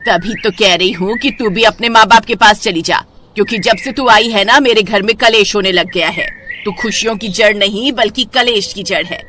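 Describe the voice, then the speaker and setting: A woman's voice: mid-range voice, moderate pace, bright, forceful tone, slight background noise, close to an everyday voice. A woman voicing a resentful, harsh, accusing aunt in a cartoon moral story. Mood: angry. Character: resentful, scolding aunt throwing out an orphaned niece.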